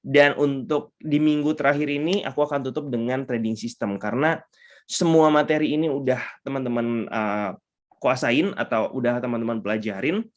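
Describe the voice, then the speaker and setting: Male mentor, hoarse and stuffy-nosed, upbeat online lecturer, mid-pitched, video-call mic. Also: moderate pace, highs cut off. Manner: upbeat, mixes English terms, frequent fillers. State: hoarse and congested. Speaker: trading mentor.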